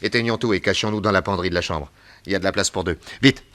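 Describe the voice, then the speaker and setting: An actor playing a self-assured, amused detective with a theatrical, teasing manner in a French radio detective play. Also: male voice, sits low, racing, noisy background, lightly acted. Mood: amused, brisk. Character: cool, amused male detective posing as a gentleman thief.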